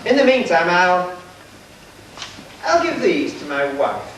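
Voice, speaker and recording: A man playing a pompous, scheming shoemaker villain in an amateur stage pantomime, with a grandiose, drawn-out delivery. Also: sits high, very animated, fairly bright tone, heavily acted. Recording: echoey hall, distant mic, hissy old video.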